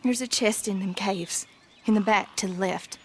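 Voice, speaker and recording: A woman's voice: mid-range voice, moderate pace, a little hiss or hum, close to an everyday voice. A woman playing a tough, anguished young frontier woman in a video game, urgent and blunt. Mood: urgent, subdued. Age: young adult. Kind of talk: cinematic western game acting.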